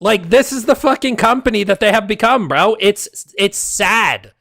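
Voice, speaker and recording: Hoarse, gravelly ranting male streamer. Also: high-pitched, moderate pace, a bit bright, no echo, clean studio sound. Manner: heated, exasperated, profane. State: hoarse, losing his voice. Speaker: game streamer. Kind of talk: casual livestream rant.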